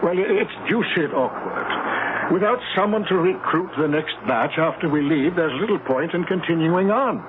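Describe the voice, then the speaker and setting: An actor playing an evasive, wary gentleman passenger in a 1970s radio drama, speaking formally and hesitantly. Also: fairly high pitch, lively intonation, moderate pace, close to an everyday voice. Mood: wary, awkward. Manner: formal, hesitant, hemming and hawing. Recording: tinny, very noisy vintage radio broadcast.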